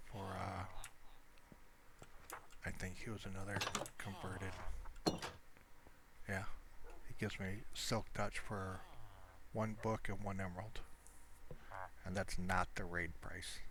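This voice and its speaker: A man's voice: low-pitched, vocal fry, fairly bright tone, a little hiss or hum. A man giving casual gameplay commentary, rambling and thinking aloud as he shows his game world. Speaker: gamer showing his Minecraft world.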